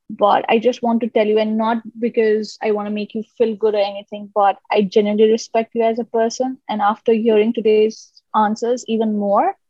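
A woman hosting a podcast who speaks warmly and encouragingly, with effusive praise for her guest. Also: medium pitch, dry recording, dull audio. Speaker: podcast host.

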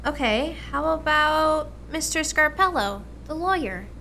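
Lady acting a busy american mom in a radio play, high voice, patient and motherly, faint noise behind. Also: expressive, bright, forceful tone, full character voice. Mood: coaxing. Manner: brisk, motherly. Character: busy, patient mother, middle-aged, gently coaxing.

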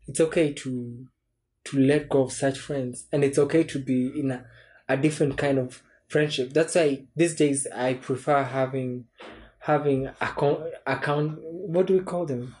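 A young man in his twenties, a podcast guest talking through his thoughts in a relaxed, reflective way with frequent restarts. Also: mid-range voice, moderate pace. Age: 20s. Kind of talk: casual chat.

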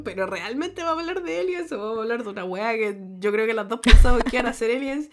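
Nasal raspy Chilean woman with a cough. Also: on the high side, expressive, quick pace, very noisy. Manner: swears freely and laughs often. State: recovering from a cough. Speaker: podcast host. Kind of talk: casual chat.

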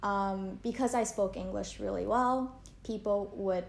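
A woman's voice: medium pitch, unhurried, vocal fry. A young woman from Canada chatting in an interview, talking loosely with lots of fillers and likes. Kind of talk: casual interview chat. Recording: echoey room.

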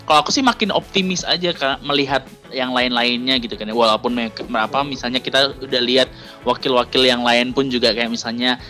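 Bright-voiced chatty young man. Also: medium pitch, steady tone, brisk, soft background music. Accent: Indonesian. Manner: chatty, laughs often, many fillers. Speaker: podcast co-host. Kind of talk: casual chat.

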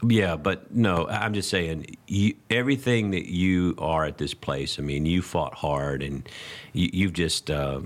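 A man's voice: low-pitched, unhurried. A man hosting a casual interview, speaking in an encouraging, conversational manner. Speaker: podcast host.

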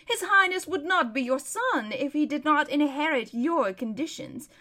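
A woman voicing a courteous nobleman in a storybook, measured and respectful in a courtly manner. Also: sits high, lively intonation, bright, forceful tone, pitched above the performer's own voice. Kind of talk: storybook character voice.

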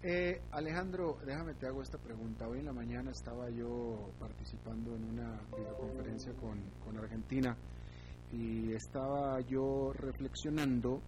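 A man's voice: mid-range voice, fairly even intonation, normal speed, over music. A man hosting a radio interview, friendly and chatty, asking his guest questions. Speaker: radio host. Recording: noisy radio broadcast.

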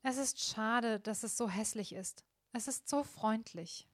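A kind young heroine, voiced by a woman reading a fairy tale, speaking a little higher than most women. Her lines come out earnest and heartfelt, recorded dry and close. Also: measured pace, no room sound. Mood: gentle. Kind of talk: storybook reading.

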